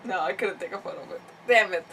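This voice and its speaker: A woman live streaming a game with giggly, excitable, chatty casual reactions. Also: medium pitch, fast talker, far from the mic, crowd noise.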